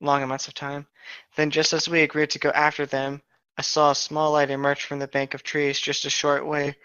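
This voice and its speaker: A man's voice: on the high side, monotone, moderate pace, sharp tone, clear, resonant tone, dry recording. A man reading a fan fiction story aloud as narrator, breaking into casual banter, on a slightly dull recording.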